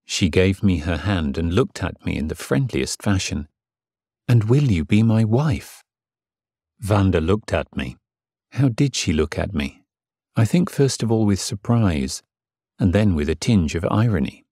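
An actor playing a lovesick, submissive gentleman in an audiobook drama, earnest and measured, with a husky voice. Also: low voice, lively intonation, no room sound, studio quality, exaggerated voice. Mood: earnest, yielding. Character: Severin, lovesick, submissive gentleman narrating his passion. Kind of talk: dramatised first-person audiobook narration.

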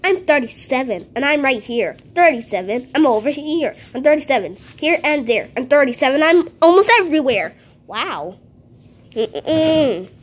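A woman's voice: sits high, animated, normal speed. A woman voicing a cheerful, boastful male number block who chants rhymes in a sing-song way while playing with paper cutouts at a home table, recorded on a close phone. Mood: chanting. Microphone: handheld phone camera close to table.

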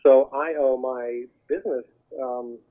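A man speaking as a talent agent guest, giving advice in an earnest, halting, conversational way over the telephone. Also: mid-range voice, fairly even intonation. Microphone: telephone call. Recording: muffled narrow phone line.

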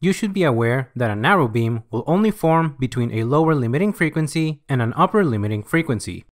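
A man reading scripted software tutorial narration in an instructional, measured way. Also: mid-range voice, animated.

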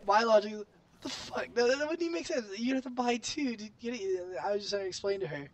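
A teenage man with a cold and a highish voice co-hosts a gaming video. He tells stories in a casual, blunt way and curses freely. There is clear noise on the recording. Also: animated, fast talker. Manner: blunt, heavy swearing. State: sick with a cold. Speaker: gaming channel co-host. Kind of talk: casual profane chat and storytelling over gameplay. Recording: clearly noisy home game recording.

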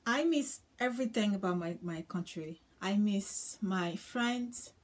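A woman's voice: mid-range voice, unhurried. A young woman from Congo, chatting casually to camera with many ums and uhs. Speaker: vlogger. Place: small indoor room with floral curtain.

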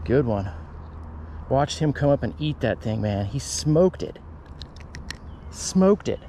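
A man vlogging while fishing outdoors by a canal, talking casually and breaking into excited exclamations. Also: medium pitch, takes it slowly, slight background noise. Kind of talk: casual fishing vlog narration.

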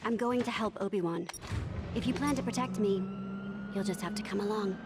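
A woman's voice: medium pitch, brisk, very noisy, close to an everyday voice. A woman playing a determined young senator in a game cutscene, assertive and dry. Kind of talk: video game cutscene acting. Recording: loud music behind.

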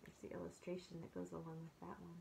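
A woman in her forties reading children's poems aloud and chatting between them in a gentle, expressive way, as a storyteller speaking to young children. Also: lowish voice, quick pace, creaky, noisy background. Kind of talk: read-aloud of children's poems with casual commentary. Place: small furnished room at home, indoors.

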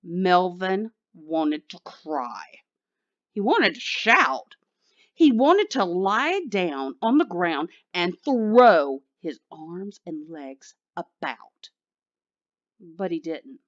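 A woman's voice: fairly low pitch, sing-song, very slow, highs cut off. A woman reading a picture book aloud expressively for children. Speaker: children's story reader.

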